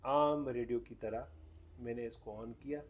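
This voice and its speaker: A man's voice: medium pitch, fairly even intonation, measured pace, highs cut off, slight background noise. Guy doing a casual hands-on product demo, explains it step by step. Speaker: host demonstrating a radio product.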